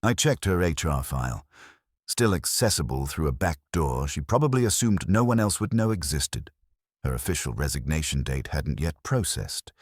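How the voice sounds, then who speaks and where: A man reads first-person detective fiction as an audiobook narrator. His voice is exceptionally low, with lively movement in pitch across short, noir-style sentences. The recording is dry and free of room sound. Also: no room sound.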